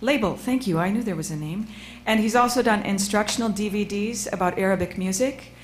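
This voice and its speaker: A woman's voice: medium pitch, a little fast, a little hiss or hum. A woman moderating a panel, casually introducing the next speaker and searching for words with fillers. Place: museum lecture room.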